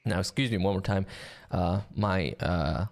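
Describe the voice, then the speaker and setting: A man's voice: low voice, unhurried. Chatty man hosting a game stream. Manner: chatty, upbeat, many fillers. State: sore throat. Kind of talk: live-stream commentary.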